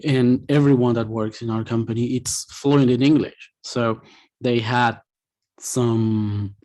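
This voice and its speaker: A man's voice: mid-range voice, a little mellow. A man from Argentina, a native Spanish speaker, answering interview questions over a video call in English and searching for words. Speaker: company representative guest.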